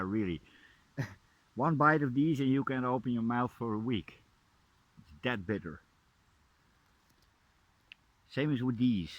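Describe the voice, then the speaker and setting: A man with a Dutch accent, softly narrating a mushroom hunt in a forest as a nature vlogger. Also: medium pitch, no room sound, low-quality recording. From Netherlands. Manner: soft-spoken, drops to a hushed near-whisper up close. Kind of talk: casual narration while foraging. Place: forest, outdoors.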